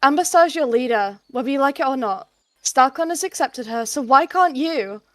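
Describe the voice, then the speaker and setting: High-voiced, firm mother cat played by a woman. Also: expressive, normal speed, no room sound. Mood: scolding. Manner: scolding, then softened. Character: firm but loving she-cat, mate and mother.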